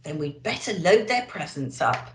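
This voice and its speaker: A woman voicing a jolly old Santa Claus for a children's storybook, hearty and booming. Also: big pitch swings, moderate pace, roomy sound, slight background noise.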